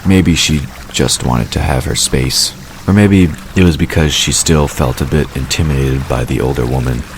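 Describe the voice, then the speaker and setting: Very deep-voiced male storyteller. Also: moderate pace, a little hiss or hum. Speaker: narrator. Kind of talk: scripted narration of a fantasy audio drama.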